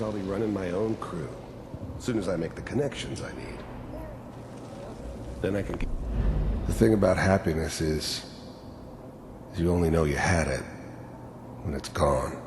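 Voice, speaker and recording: An actor playing a world-weary, hardened mercenary in a video game, gravelly and brooding, haunted by his past. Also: roomy sound, noisy background. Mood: reassuring. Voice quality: deep, gravelly. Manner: brooding, bitter, halting. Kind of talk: reflective voice-over and dramatic dialogue.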